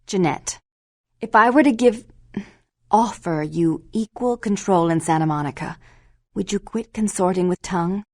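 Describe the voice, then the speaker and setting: A woman's voice: mid-range voice, a little slow, darkish tone, no room sound, studio quality. A woman playing a prim, cold, controlling businesswoman in a video game, speaking in a clipped, formal, haughty way. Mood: reluctant, grave.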